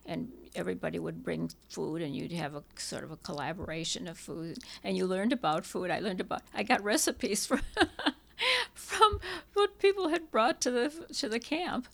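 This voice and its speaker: An older woman, Czech by first language, talks through her memories in an interview. Her voice is deep-set and heavily gravelly with constant vocal fry. She wanders and restarts as she recalls things. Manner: halting, reminiscing, many restarts. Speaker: interviewee, hiking club member. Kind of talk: oral history interview.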